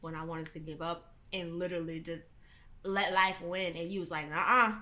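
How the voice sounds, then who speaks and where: A woman's voice: lowish voice, steady tone, normal speed, bright, forceful tone, a little hiss or hum. A young woman hosting a casual interview, speaking bluntly with frequent laughter and profanity. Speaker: host. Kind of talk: casual chat.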